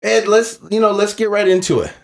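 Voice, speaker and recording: A man's voice: sits high, a little fast, vocal fry. Pumped up podcast host guy, trips over his words, says you know a lot. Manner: enthusiastic, stumbling, lots of fillers. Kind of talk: casual podcast interview.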